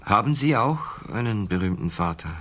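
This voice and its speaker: A very low-pitched young man as a psychiatric patient in a radio play. He is delusional and self-absorbed, stating his claims in short, insistent bursts. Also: expressive, moderate pace, fairly bright tone.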